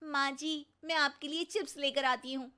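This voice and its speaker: A woman as an earnest young daughter-in-law, high-voiced. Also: steady tone, moderate pace, pushed and bright. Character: polite, sensible young daughter-in-law in a family cartoon.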